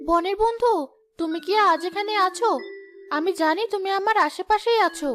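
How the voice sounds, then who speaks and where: A woman voicing a gentle, earnest young princess in an animated fairy tale, speaking politely and full of questions. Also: extremely high pitch, normal speed, over music. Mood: earnest, curious.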